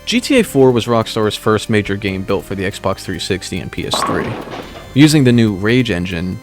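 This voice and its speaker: A man reading a scripted video essay in a dry, wry, measured way. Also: lowish voice, very animated. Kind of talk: scripted narration. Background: background music.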